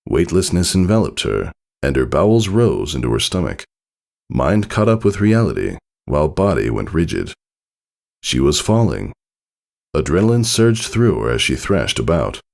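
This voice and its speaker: A deep-voiced man narrates fiction in a dramatic storytelling style. His voice has a gravelly creak and very animated pitch, set over faint music. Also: normal speed.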